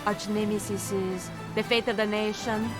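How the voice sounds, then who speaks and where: An actress playing an unflappable, playfully teasing rival and ally in a video game, with dry understatement. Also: female voice, medium pitch, level tone, unhurried, over music, natural voice. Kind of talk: video game cutscene acting.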